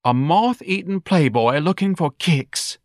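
A man's voice: on the high side, expressive, unhurried, dry recording, studio quality, pitched above the performer's own voice. A man voicing a self-righteous, meddling wife who scolds and pleads theatrically, in an audiobook story. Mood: accusing.

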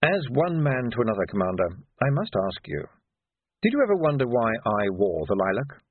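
A man's voice: medium pitch, big pitch swings, no echo, narrow, tinny audio. Man acting a composed, formal aristocratic ruler. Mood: smooth, ironic. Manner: measured, formal, understated. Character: composed, coolly formal, subtly ironic aristocratic male ruler.

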